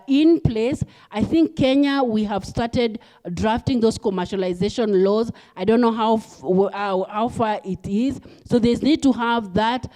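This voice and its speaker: A woman speaks on a conference panel in a measured, deliberate way. Her voice sits slightly high and sounds mellow. She pauses now and then with small fillers. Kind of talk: panel discussion remarks. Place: conference hall.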